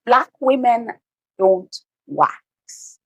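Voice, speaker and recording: A woman's voice: on the high side, expressive, drawn-out words, breathy edge, no room sound. A woman from Sierra Leone hosting a podcast, chatting casually and expressively with her guest, often laughing. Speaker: podcast host. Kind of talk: casual interview chat. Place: studio in Edmonton, Canada.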